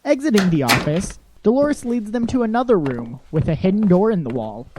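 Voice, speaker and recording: A woman's voice: medium pitch, big pitch swings, fairly quick. A woman narrating an audio drama from a script. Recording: clear background noise and clicks.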